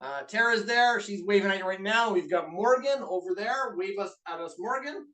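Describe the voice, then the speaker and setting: A man's voice: high-pitched, expressive, normal speed, a bit bright, far from the mic. A man with a Canadian accent hosting a live online masterclass in an upbeat, chatty way, with lots of filler uhs. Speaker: webinar host and business co-owner. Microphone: video call mic.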